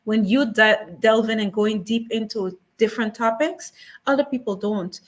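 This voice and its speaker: A woman's voice: a little high, normal speed. Earnest woman repeating points for emphasis. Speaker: museum guest. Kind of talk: casual talk show discussion.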